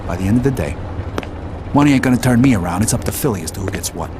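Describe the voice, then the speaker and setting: An actor playing a world-weary, blunt American mobster in a gritty crime game. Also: male voice, lowish voice, animated, rapid-fire, noisy background, close to an everyday voice. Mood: firm, instructive. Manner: tough, blunt, streetwise. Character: world-weary, hard-bitten male mobster, loyal to family. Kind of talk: gritty crime drama narration and dialogue.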